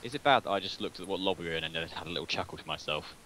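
Bright, fast-talking playful young man. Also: sits low, slight background noise, low-quality recording. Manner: silly, playful. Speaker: gamer playing with friends. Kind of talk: casual gameplay banter. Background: music.

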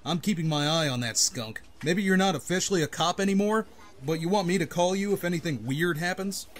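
A man's voice: sits high, a little fast, slight background noise, close to an everyday voice. A man playing a streetwise, sardonic police officer in a comic-book audio drama, casual and wry. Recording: loud music under the voice.

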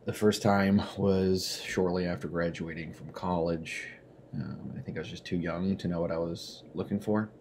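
A man playing a weary client giving a soft, hesitant, confessional ASMR testimonial in a small room. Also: sits low, fairly even intonation, normal speed, slight background noise. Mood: rueful.

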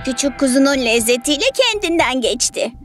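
High-voiced woman telling a children's tale. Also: lively intonation, fairly quick, soft background music. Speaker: storyteller. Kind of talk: children's story narration.

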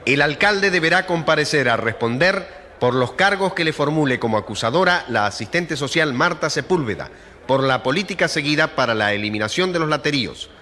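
A man's voice: on the high side, lively intonation, fairly quick, bright, forceful tone. An actor playing a formal, emphatic male radio reporter announcing news live in a radio drama. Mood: formal, urgent. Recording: radio play with long hall reverb.

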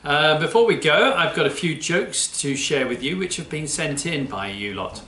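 A man's voice: on the high side, animated, moderate pace, pushed and bright. A man in his fifties, cheerily and jokily presenting to children in a chatty way. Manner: cheery, jokey. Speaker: children's church programme presenter. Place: indoors in front of a plain backdrop. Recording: roomy echo.

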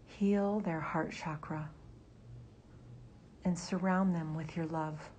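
A woman leading a guided meditation in a soft, breathy near-whisper. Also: sits low, steady tone, takes it slowly, lo-fi sound, slight background noise.

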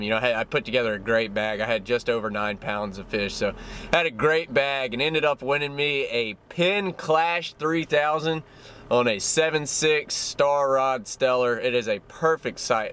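A man's voice: mid-range voice, fairly bright tone, slight background noise. A man, chatting easily to a dashboard camera from his parked car as a fishing vlogger, rambling and restarting. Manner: easygoing, rambling with restarts, says y'all. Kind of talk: casual chat to camera. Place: parked car cab, indoors.

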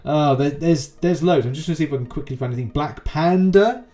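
A man's voice: fairly high pitch, quick pace, warm tone. A young adult man hosting an explainer video, talking in a casual, rambling, conversational way. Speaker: YouTube host. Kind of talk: casual scripted explainer with ad-libbed commentary. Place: small room at home with green screen. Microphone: close desk microphone. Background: background music.

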